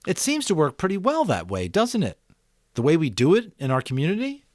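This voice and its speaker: Guy doing an earnest curious kid for an audiobook, pitch hops around, thinking out loud, dry close sound. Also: huge pitch swings, no room sound. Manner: eager, questioning, halting as he reasons. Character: Jonas, earnest curious young boy thinking aloud. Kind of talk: audiobook character voice.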